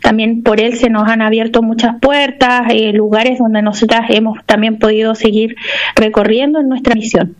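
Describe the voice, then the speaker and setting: A missionary nun with a Chilean accent, answering interview questions hesitantly with many fillers. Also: female voice, on the high side, fairly even intonation, normal speed.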